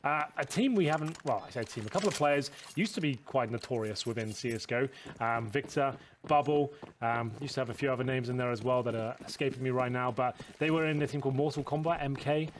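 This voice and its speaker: A man casting an esports match, breaking down tactics quickly with a joking, bantering manner. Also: medium pitch. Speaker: esports caster. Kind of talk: analytical commentary with banter. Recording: noisy broadcast with game sounds.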